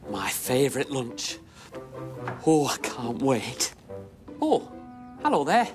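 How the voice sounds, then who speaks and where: An actor playing a friendly middle-aged railway driver, chatting theatrically to children. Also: male voice, medium pitch, sing-song, slow pace, music in the background. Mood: cheerful, chatty. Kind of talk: children's presenting.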